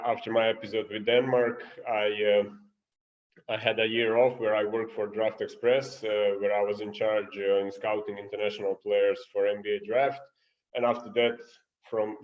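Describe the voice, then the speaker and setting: Serbian-accented male coach, flat low delivery, lo-fi call sound. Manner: earnest, searching for English words. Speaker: guest coach. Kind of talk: online coaching clinic talk. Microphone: video call.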